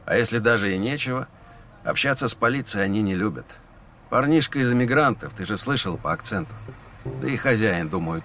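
A man playing a patient police lieutenant who talks in a measured, explanatory way. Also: mid-range voice, a bit bright, a little hiss or hum, close to an everyday voice. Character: weary young male police lieutenant, patient. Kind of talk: audio drama acting.

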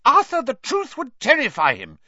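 A man voicing a brisk, bossy middle-aged mother in a storybook reading. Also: extremely high pitch, wildly swooping pitch, sharp tone, dry recording, highs cut off, voice pushed up, heavily acted. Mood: shrill, anxious. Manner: bossy, exclaiming.

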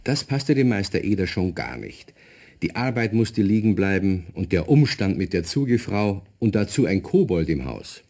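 A man with a Bavarian accent narrating a children's radio play, expressive and speaking directly to young listeners. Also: sits low, moderate pace.

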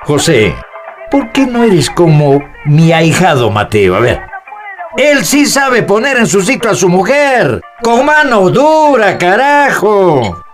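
An actor plays a domineering Peruvian uncle in a radio drama. He taunts coarsely in a high, very animated male voice, over faint music. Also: takes it slowly, heavily acted. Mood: mocking, approving. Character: older macho uncle and godfather, mocking, domineering.

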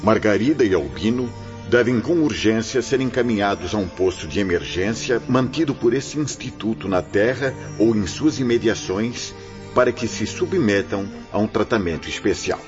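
A kindly, authoritative religious mentor played by a man speaks formally and calmly in a radio drama. His low voice moves expressively, on a slightly dull recording with faint music. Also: normal speed, lightly acted. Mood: calm, grave. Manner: calm, grave, reassuring, formal.

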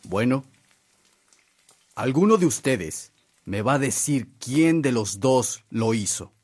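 A man playing a middle-aged, authoritative father and landowner in a radio drama, his voice slightly husky. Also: medium pitch, big pitch swings, slow, a little mellow, heavily acted. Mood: stern. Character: stern but charitable Mexican father, landowner. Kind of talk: Spanish-language radio drama acting.

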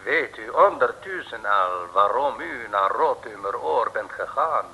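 Radio-play villain: cold, measured secret agent with a bright, forceful, husky voice. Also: male voice, mid-range voice, expressive, heavily acted. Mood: pompous, menacing. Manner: slow, measured, pompous pronouncements. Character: sinister male secret agent, coldly menacing villain. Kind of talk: 1950s Dutch radio play acting. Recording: old radio recording with long reverb.

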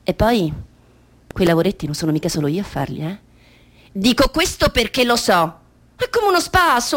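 A woman's voice: mid-range voice, natural voice. An actress playing a precocious, troubled little girl with naive, matter-of-fact bravado in a radio play monologue.

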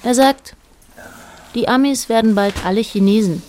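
A woman narrating a radio play as a detached observer, in a measured, matter-of-fact way. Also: on the high side, darkish tone, close to an everyday voice. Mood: detached, observant. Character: detached female narrator observing a married couple.

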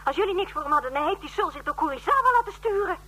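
A woman's voice: very high voice, animated, very fast, pushed and bright, full character voice. An actress playing a brash, sarcastic young woman at a space station in a Dutch science-fiction radio play, snappy and mocking. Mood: impatient, mocking.

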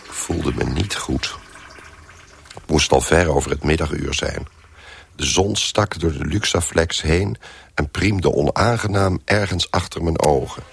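Very deep, weary hungover man. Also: unhurried, gravelly, a little hiss or hum, exaggerated voice. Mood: weary, wry. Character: hungover man wearily recounting last night's drinking party. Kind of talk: Dutch radio play narration.

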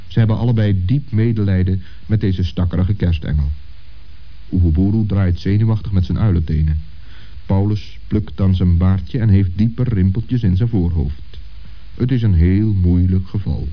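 Male narrator for children's tales, lower register, slightly muffled old recording. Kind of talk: scripted children's story narration.

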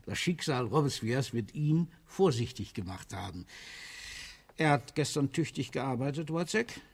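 Radio drama: aging Napoleonic general, imperious, sardonic, theatrical, slightly husky. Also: male voice, medium pitch, lively intonation, measured pace. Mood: commanding. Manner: haughty, declamatory, curtly commanding.

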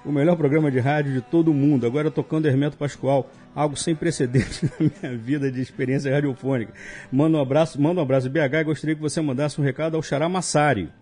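A man's voice: a little high, fairly even intonation, quick pace, warm tone. A man with a Brazilian accent hosting live radio, reading listener emails aloud with jokey asides. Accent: Brazilian Portuguese. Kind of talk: casual live radio chat.

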